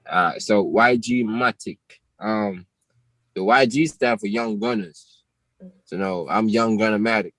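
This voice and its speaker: A man's voice: fairly low pitch, a little slow, a bit bright, dry recording, rough recording. A man in his twenties with a Jamaican accent, a musician chatting casually as a guest on an interview show. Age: 20s. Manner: laid-back, slangy, laughs often.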